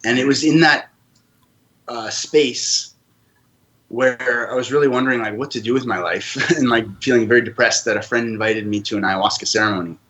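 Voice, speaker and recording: A man's voice: medium pitch, steady tone, brisk, fairly bright tone. A man who grew up in Canada, a podcast guest telling his own story in an earnest, open way. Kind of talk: casual interview talk.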